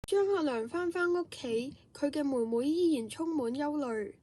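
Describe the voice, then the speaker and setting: A woman's voice: high-pitched, expressive, moderate pace, warm tone, slight background noise. A woman giving scripted narration for a radio drama.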